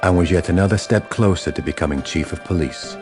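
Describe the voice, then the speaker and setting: A man's voice: deep voice, quick pace, darkish tone, faint music behind. A man narrating a radio drama from a script.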